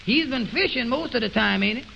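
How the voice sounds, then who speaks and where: A man playing a naive, slow-witted, questioning fellow in a 1920s radio comedy, with puzzled, halting, folksy ungrammatical talk on an old recording. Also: extremely high pitch, lively intonation, creaky, sharp tone, highs cut off, slight background noise, exaggerated voice. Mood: curious.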